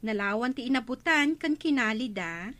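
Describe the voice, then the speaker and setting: Bright-voiced village woman. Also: a little high, lively intonation, normal speed. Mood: matter-of-fact. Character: village woman reporting gossip about treasure diggers. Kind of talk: radio comedy drama acting.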